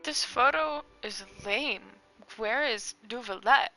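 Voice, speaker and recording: Bright, creaky-voiced woman game streamer. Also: fairly high pitch, slow, rough recording. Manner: effusive, silly, talks to game characters. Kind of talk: casual, reactive gameplay commentary. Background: faint game music.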